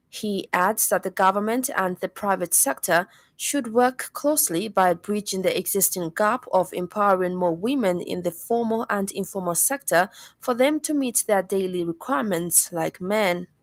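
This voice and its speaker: A woman with a Zambian accent reads a scripted radio news bulletin in a formal, measured manner. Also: mid-range voice, dry recording. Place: radio studio.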